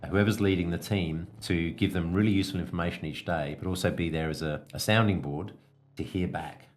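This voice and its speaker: A man's voice: low-pitched, fairly even intonation, brisk. A man giving an informal, explanatory coaching talk as a podcast host, plain-spoken with hesitant phrasing and casual asides. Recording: music behind the voice.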